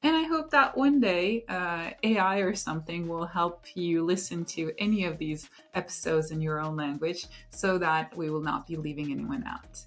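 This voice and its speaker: A woman hosting her own podcast, introducing it in an earnest, unhurried way with thoughtful pauses. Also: lowish voice, a bit bright. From Luxembourg. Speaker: podcast host. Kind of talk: reflective solo podcast intro.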